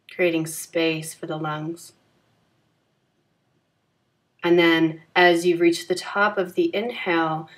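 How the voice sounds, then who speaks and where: A woman leading a guided yoga nidra meditation in a calm, gentle, soothing manner with unhurried phrasing. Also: on the low side.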